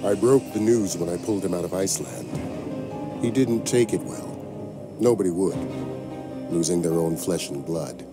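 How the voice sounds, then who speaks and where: Somber American male spymaster, very deep voice, reflective and plain-spoken, game narration over music. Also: lively intonation, moderate pace, pauses often. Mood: somber, regretful. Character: somber, world-weary older male spymaster, loyal and regretful. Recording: music behind the voice.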